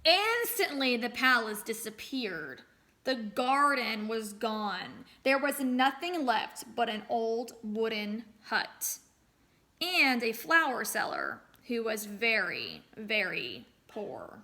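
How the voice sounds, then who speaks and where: A woman teacher reads and explains a story for children. Her voice is bright and a little high, and she phrases things expressively, with deliberate emphasis. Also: very animated. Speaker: teacher reading aloud to children. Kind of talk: expressive storybook read-aloud with lesson questions.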